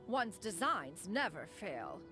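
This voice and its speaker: A woman's voice: mid-range voice, big pitch swings, takes it slowly, over music. A woman voicing a proud, lofty immortal inventor in a video game, with formal, archaic, pompous phrasing. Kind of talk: game voice acting.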